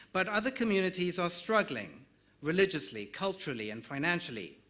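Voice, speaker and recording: A man's voice: on the high side, sharp tone. A middle-aged man from South Africa with a South African accent, moderating a conference panel, reading introductions with small slips and light jokes.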